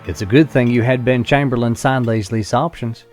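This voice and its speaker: A man's voice: medium pitch, fairly even intonation, normal speed, darkish tone, lightly acted. Businesslike male attorney acted by a man, confident and shrewd, natural audio drama delivery over light music. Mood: confident, measured. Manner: assured, businesslike. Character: confident, shrewd male lawyer advising his client.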